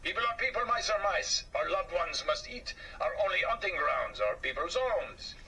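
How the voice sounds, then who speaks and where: A shrugging, breezy French mouse from a storybook, played by a man. He is philosophical and loyal, with a bright, raised voice. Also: high-pitched, very animated, normal speed, creaky, pitched above the performer's own voice, heavily acted. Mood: scoffing. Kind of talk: children's storybook character voice. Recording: slightly distant mic, faint noise.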